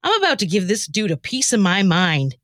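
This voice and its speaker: A woman's voice: medium pitch, big pitch swings, a little fast, fairly bright tone. A woman playing an exasperated, easily riled comic version of herself in amateur radio theater, with a slangy, theatrical delivery. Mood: indignant, exasperated. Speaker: podcast host and scriptwriter.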